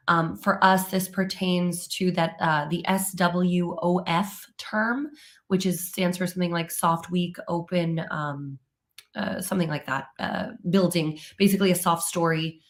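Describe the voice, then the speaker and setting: A woman hosting a live informational webinar, her voice still hoarse as she recovers from laryngitis, talking in a relaxed way with many ums and uhs. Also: on the low side. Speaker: webinar host, company presenter.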